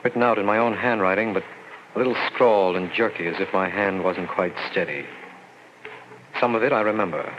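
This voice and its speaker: An actor playing a troubled, haunted man who doubts his sanity, grave and halting, in 1940s radio style. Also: lowish voice, natural voice. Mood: haunted, uneasy. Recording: muffled old radio recording with noise.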